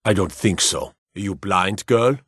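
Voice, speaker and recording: An actor playing a gruff, proud Nord guard in a fantasy video game, blunt and curt with folksy rustic phrasing. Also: male voice, low-pitched, animated, a little slow, fairly bright tone, dry recording, studio quality, heavily acted. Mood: irritated, scornful.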